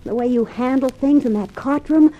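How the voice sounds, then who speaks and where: An actress playing an effusive, adoring sweetheart with a soft, husky voice in a 1950s radio drama. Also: female voice, sits high, normal speed. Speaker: actress playing the hero's admirer. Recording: dull old radio recording, faint music.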